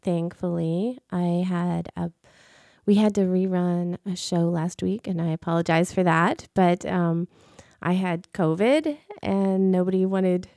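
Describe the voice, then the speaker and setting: A woman's voice: on the low side, steady tone, measured pace, dark tone, no room sound. A middle-aged woman hosting a radio show solo from the studio, chatty with many ums and restarts, her voice a little off after being ill. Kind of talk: solo casual radio talk.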